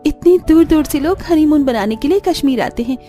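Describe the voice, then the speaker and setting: Woman playing a sweet, high-voiced young bride. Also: animated, fairly quick, warm tone, soft background music. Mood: dreamy excitement. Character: gentle, devoted young bride from the forest. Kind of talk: Hindi cartoon story dialogue.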